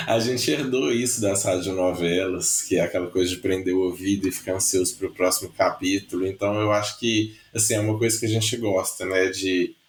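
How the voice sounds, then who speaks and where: Low-voiced man recalling, echoey room. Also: steady tone, moderate pace, soft background music. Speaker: musician and educator, guest. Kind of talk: casual interview talk. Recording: roomy echo.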